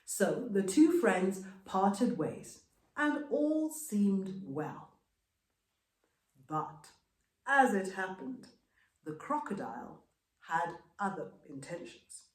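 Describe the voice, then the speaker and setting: Female storyteller for folktales: lively swooping intonation, unhurried dramatic phrasing, echoey room. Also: mid-range voice. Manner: dramatic, expressive, deliberate phrasing. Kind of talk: scripted folktale narration.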